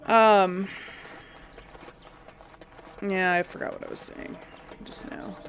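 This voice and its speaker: A woman's voice: mid-range voice, fairly even intonation, slow, noisy background. A woman giving casual, rambling video game commentary, thinking aloud hesitantly and poking fun at herself. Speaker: gamer, let's play host.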